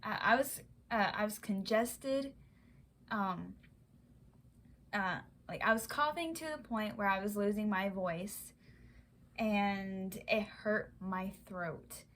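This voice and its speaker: A young woman vlogger telling a personal story in a halting way, with many fillers and restarts. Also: medium pitch, fairly bright tone. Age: young adult. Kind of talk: casual personal account.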